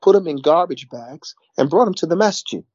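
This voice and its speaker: A man's voice: on the high side, animated, a little fast, a little mellow, dry recording, highs cut off. A middle-aged man, talking casually in a rambling way, repeating words and saying "you know" a lot. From New York. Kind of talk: casual conversation.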